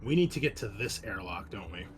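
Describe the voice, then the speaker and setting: A man's voice: fairly low pitch, sing-song, fairly quick, soft background music. A man chatting casually as a player in a tabletop roleplaying game.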